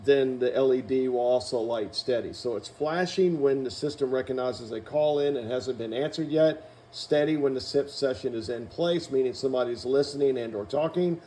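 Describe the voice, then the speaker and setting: A man's voice: medium pitch, mellow, slight background noise. A man presents a piece of hardware as a company demonstrator. He speaks in a mid-range voice and takes things methodically, explaining each part in turn. The delivery is that of a practical instructional walkthrough.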